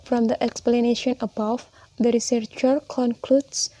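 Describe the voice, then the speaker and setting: A woman's voice: on the high side, flat delivery, measured pace, mellow, no room sound, poor audio. A young woman with an Indonesian accent, a student reading her poster presentation aloud carefully and steadily, now and then correcting herself. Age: young adult.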